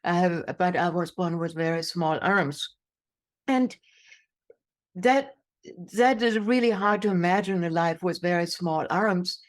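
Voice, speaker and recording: A woman's voice: on the low side, measured pace. Female interview guest, 60s, German-accented English, emphatic storyteller who leans on repetition. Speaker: guest, inspirational speaker.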